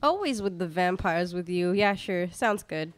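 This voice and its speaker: A woman's voice: lowish voice, expressive, moderate pace, lightly acted. A young woman playing a sardonic, exasperated teenage vampire hunter in a student radio play. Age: young adult. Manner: casual, sardonic. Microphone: microphone on stand. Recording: clear background noise, clicks.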